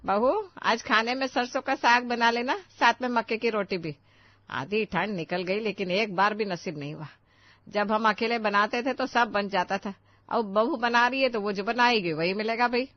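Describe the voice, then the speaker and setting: A woman's voice: fairly high pitch, moderate pace, no echo, dull audio, lightly acted. A woman voicing a demanding older mother-in-law in a family story, with a commanding, emphatic, nagging manner. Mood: demanding, grumbling.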